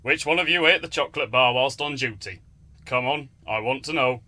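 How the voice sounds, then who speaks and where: A stern male constable, voiced by an actor speaks with forceful, bright authority. It is a dry-sounding, mid-pitched radio comedy performance. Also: fairly quick, no room sound. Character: stern, defensive male airport police constable. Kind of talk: spoof docu-soap radio comedy acting.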